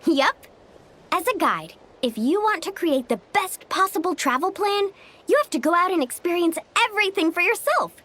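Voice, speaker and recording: A woman playing a breezy, playful young travel guide in an animated video game. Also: extremely high pitch, moderate pace, full character voice. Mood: breezy, confident.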